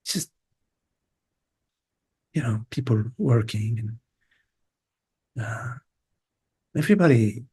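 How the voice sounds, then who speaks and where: An older man from Lebanon, a spiritual teacher giving a meandering, halting satsang talk over a video call. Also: mid-range voice, expressive, mellow, husky. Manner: meandering, halting, many fillers.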